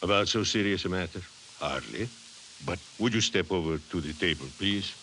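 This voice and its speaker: An actor playing a courteous, calmly assured chief scientist in 1950s radio drama style, polite and clipped. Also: male voice, low-pitched, normal speed. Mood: assured, intent. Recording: hissy 1950s radio recording.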